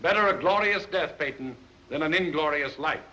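An actor playing an eloquent colonial Virginia patriot in a theatrical 1930s film style, declaiming with precise diction. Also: male voice, fairly high pitch, moderate pace, sharp tone, dull audio. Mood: grave, resolute. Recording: noisy 1930s film soundtrack.

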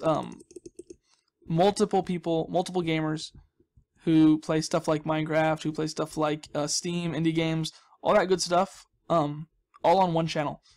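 Male gaming host, slightly high register. Chatty, jokey, self-deprecating, loose off-the-cuff play commentary. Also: darkish tone. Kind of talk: casual rambling gameplay commentary.

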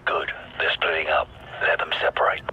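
An actor playing a tough, watchful British special forces commander, giving terse, controlled tactical orders in a British accent. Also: male voice, moderate pace, muffled recording, slight background noise, close to an everyday voice. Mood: focused. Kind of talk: tactical game dialogue.

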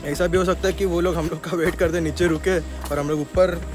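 A man's voice: on the high side, fairly even intonation, fairly quick. A young man, vlogging casually to a phone camera as he walks a forest trail and talks straight to his viewers. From Maharashtra, India. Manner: chatty, addresses viewers directly while walking a trail. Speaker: travel vlogger. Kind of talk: casual vlog narration to camera. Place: outdoor forest trail near a waterfall. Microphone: phone camera held while walking. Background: background music.

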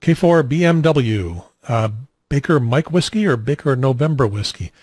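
An older man hosting an amateur radio net, explaining technical matters conversationally in a halting, methodical way. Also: mid-range voice, animated, darkish tone, slightly rough. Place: small home radio room, indoors. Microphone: handheld radio mic held at mouth, boom mic nearby.

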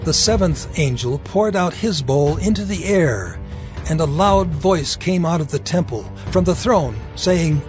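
A man narrating dramatized scripture in a solemn, deliberate manner. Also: on the high side, lively intonation, a little mellow, faint music behind.

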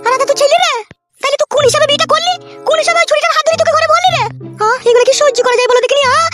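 A woman's voice: very high-pitched, very fast, fairly bright tone, faint music behind. A woman voicing a betrayed, scolding village wife in a comedy cartoon, with a rural West Bengal accent, ranting and wailing. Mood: furious. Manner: nagging, cursing, wailing rants. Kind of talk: comedy cartoon dub, melodramatic quarrelling.

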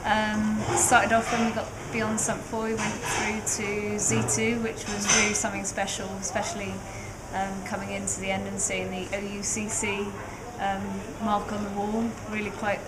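A woman's voice: mid-range voice, monotone, normal speed, few pauses, very noisy, natural voice. A woman recapping a long cave dive, upbeat but tired, with many fillers. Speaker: cave diver. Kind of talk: interview recap. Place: outdoors by a car park.

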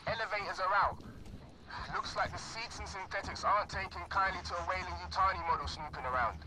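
An actor playing a frightened, stressed station officer in a video game, anxious and agitated. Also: male voice, high voice, fairly quick, crowd noise, lightly acted, low-quality recording. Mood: tense, informative. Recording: clear background game noise and ambience.

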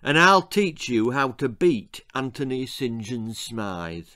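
Man acting elderly English mentor, wistful, determined, measured slow speech, storybook reading. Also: medium pitch, very animated, sharp tone, no room sound, studio quality, exaggerated voice. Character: wise, wistful old man, encouraging and determined.

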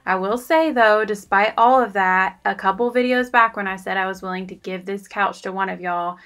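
A woman's voice: medium pitch, normal speed, a bit bright. Chatty woman confiding in viewers. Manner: chatty, confiding, self-deprecating. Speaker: cleaning vlogger. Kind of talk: casual voice-over narration.

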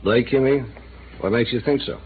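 A man's voice: medium pitch, moderate pace, natural voice. An actor playing a flippant, streetwise male reporter with a drinking habit in a 1950s radio drama. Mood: cagey. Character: cynical hard-drinking male newspaper reporter. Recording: tinny old radio broadcast with hiss.

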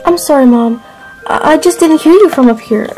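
A woman's voice: sits high, normal speed, dark tone, soft background music, lightly acted, rough recording. Woman voicing a stammering nervous teen girl. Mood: flustered. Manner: flustered, stammering, full of "um"s. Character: nervous 18-year-old girl hiding a secret.

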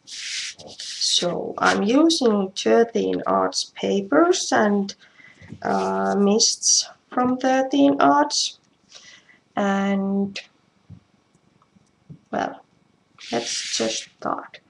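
A woman from Finland with a Finnish accent, giving a casual craft tutorial in halting, self-deprecating English with a creaky voice. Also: mid-range voice, lively intonation. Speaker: craft demonstrator. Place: indoors at home. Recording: noisy live stream with clicks.

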